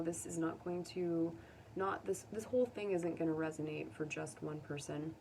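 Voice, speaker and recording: An American woman talks through a tarot reading in a fairly low voice. She is conversational and unscripted, musing and pausing as she thinks aloud to her viewers. The mic sits at some distance, with faint noise behind her. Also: warm tone. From United States. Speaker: tarot reader.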